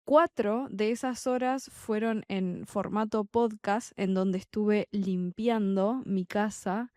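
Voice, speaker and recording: A young adult woman from Argentina with a Buenos Aires accent, a Spanish tutor talking slowly and deliberately in a podcast for learners. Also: medium pitch, a little mellow, dry recording, clean studio sound. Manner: slow, deliberate, clearly spaced phrasing. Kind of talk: slow podcast monologue for learners.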